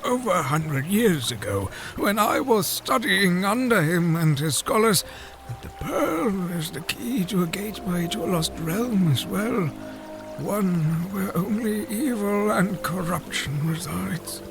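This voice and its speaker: A man playing an old, grave, scholarly fish-folk advisor in a fantasy audio drama, speaking formally and deliberately. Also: sits high, big pitch swings, vocal fry, music in the background, full character voice. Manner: formal, deliberate, solemn storyteller.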